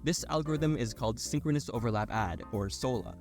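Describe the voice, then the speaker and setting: A man's voice: mid-range voice, animated, fairly quick, a little mellow, faint music behind. A man delivering a scripted technical explainer voice-over, addressing the listener directly as he explains. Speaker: narrator of an educational explainer video.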